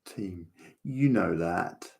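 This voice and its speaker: A man's voice: deep voice, expressive, drawn-out words, creaky. A man giving casual live watchalong commentary as host, chatty and informal, reacting in short bursts.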